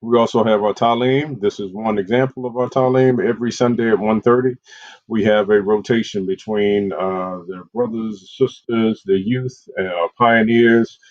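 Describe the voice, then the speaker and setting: A man's voice: lowish voice, steady tone, normal speed, a little mellow, no echo. A man hosting an online community program and reading out announcements over a video call. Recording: dull, lo-fi video call audio.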